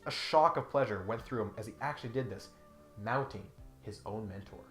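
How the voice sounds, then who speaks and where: A man's voice: fairly low pitch, brisk. A man hosting a comedic critique, narrating from a script in a mock-serious way with exasperated, sardonic asides. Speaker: host of a comedic critique video. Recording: roomy sound with faint music behind. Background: faint music.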